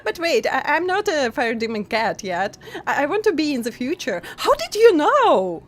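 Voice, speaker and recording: A young woman streaming a game and chatting casually with her viewers, giggling often and pausing to restart her sentences. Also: sits high, big pitch swings. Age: young adult. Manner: giggly, halting with many pauses and restarts.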